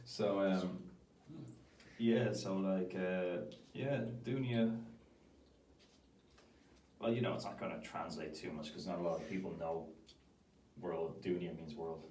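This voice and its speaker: Mellow, low-voiced man teasing wryly. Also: fairly even intonation, a little slow, pauses often. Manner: wry, teasing. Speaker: graphic designer, guest. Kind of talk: casual chat. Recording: roomy, distant mic, faint background noise.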